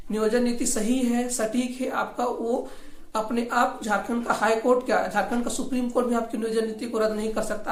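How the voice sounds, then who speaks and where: Female political commentator, voice on the high side, argumentative and critical, informal delivery, strong room echo. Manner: critical, argumentative, repeats points. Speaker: host of a regional affairs channel. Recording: echoey, roomy voice recording.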